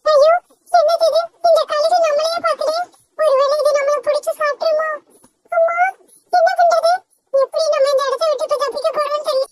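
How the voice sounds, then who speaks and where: A woman's voice: very high-pitched, fairly even intonation, fairly quick, pauses often, slight background noise. A woman playing a tiny scared sparrow chick. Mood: frightened. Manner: scared, tearful pleading. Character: frightened disobedient little girl sparrow chick. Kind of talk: cartoon voice acting.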